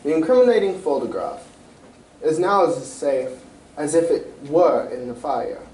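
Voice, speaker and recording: A man's voice: fairly high pitch, very animated, moderate pace, mellow. A man playing a grandiose, formal king in a radio drama read on stage. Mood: grateful, grand. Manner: pompous, formal. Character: anxious, grandiose Victorian-era male king. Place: community theatre stage reading. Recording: echoey hall, distant mic, faint noise.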